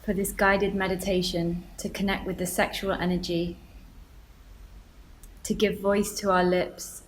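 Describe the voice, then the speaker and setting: Woman doing guided meditation, gentle and even, mid pitch, pauses between instructions, bit of background noise. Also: poor audio.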